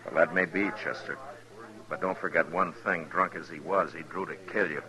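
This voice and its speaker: An actor playing a stern, middle-aged American frontier marshal in an old radio western, speaking with deliberate, terse authority on a hissy recording. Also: male voice, low voice, fairly even intonation, crowd noise, close to an everyday voice. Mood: firm, reassuring. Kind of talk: 1950s radio western acting and first-person narration.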